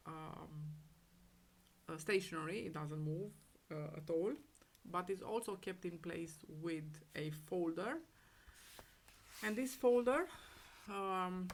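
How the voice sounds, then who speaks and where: Raspy woman with a cold, hesitant. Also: low-pitched. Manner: hesitant, many ums, fond and gushing. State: hoarse from a cold. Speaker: crafter showing her handmade album. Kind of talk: casual craft show-and-tell.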